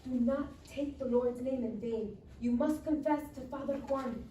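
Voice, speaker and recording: A woman's voice: high voice, moderate pace, warm tone, lightly acted. A woman playing a stern, devout immigrant mother who scolds in broken English at a live script reading. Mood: scolding. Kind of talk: live script table read. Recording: roomy distant mic, faint noise.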